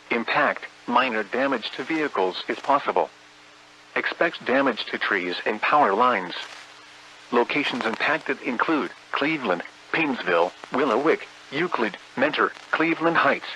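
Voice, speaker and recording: Male bulletin reader, bright forceful sound, formal scripted alerts, slightly noisy radio recording. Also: medium pitch. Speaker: weather warning announcer. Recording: faint hum and radio noise.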